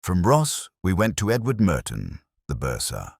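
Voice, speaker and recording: A man reading first-person detective fiction in a measured, literary, melancholic and introspective manner. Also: low-pitched, wildly swooping pitch, gravelly, husky, dry recording, clean studio sound. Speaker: narrator of a detective story. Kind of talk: first-person scripted mystery narration.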